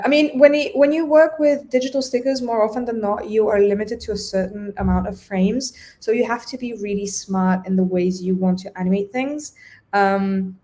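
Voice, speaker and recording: Young woman from Bulgaria, native Bulgarian speaker, casual video-call interview guest, middle pitch. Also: warm tone. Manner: thoughtful, with frequent restarts. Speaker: guest designer.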